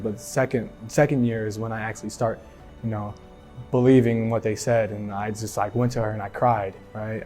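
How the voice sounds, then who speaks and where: Mellow-voiced teen guy from Philly. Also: medium pitch. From Philadelphia. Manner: halting, many "like" and "right?" fillers. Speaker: athlete sharing testimony. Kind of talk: casual personal testimony.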